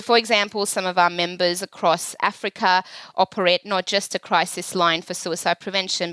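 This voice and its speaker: A woman's voice: lowish voice, a bit bright, no echo. A woman from Sri Lanka in her thirties, answering interview questions in an earnest, articulate, explanatory way. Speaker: podcast guest, charity chief executive.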